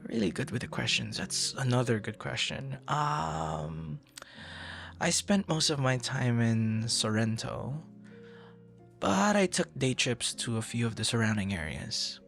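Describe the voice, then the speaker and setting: A man's voice: medium pitch, lively intonation, measured pace, vocal fry, a bit bright, soft background music. A man performing an audio roleplay as a friendly, easily flustered young traveler chatting intimately and casually with a stranger, teasing and stammering playfully. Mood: chatty, lightly flustered. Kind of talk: intimate boyfriend-style ASMR roleplay, casual conversation.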